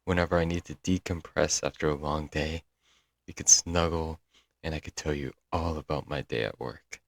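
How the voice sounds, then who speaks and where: A man's voice: a bass voice, fairly even intonation, normal speed, vocal fry, no room sound, studio quality, heavily acted. A man playing a young, lovesick and clingy stalker in an intimate, earnest ASMR audio roleplay. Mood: possessive, adoring. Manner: doting, earnest, dramatic with sighs and chuckles. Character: lovesick, broke young male yandere stalker-kidnapper, clingy and sweet.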